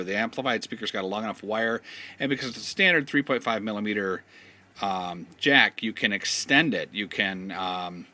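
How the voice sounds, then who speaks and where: A man giving a casual step-by-step installation tutorial, explaining in a halting way and often trailing off. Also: fairly low pitch, sharp tone. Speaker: product installation instructor.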